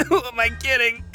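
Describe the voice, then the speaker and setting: A man's voice: extremely high pitch, bright, forceful tone, exaggerated voice. A man voicing a brash, goofy, proud young brawler who blusters and stammers in a video game.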